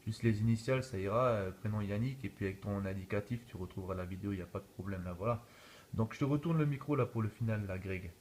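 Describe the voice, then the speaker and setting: A man's voice: lowish voice, quick pace, a little mellow. A man chats as an amateur radio operator. He is easygoing, ending thoughts with small conversational tags. The phone recording carries faint background noise. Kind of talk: casual radio contact chat. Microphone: phone filming in video mode. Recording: noisy room recording on a phone.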